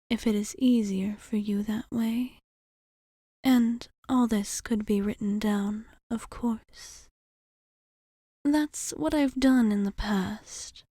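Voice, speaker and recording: Casting: woman to play a fond, teasing vampire bartender for intimate ASMR. Mellow, breathy half-whisper, polite measured speech, close dry sound. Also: fairly high pitch, no echo. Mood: earnest, measured. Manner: measured, formal, hesitant phrasing. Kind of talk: ASMR roleplay, intimate monologue.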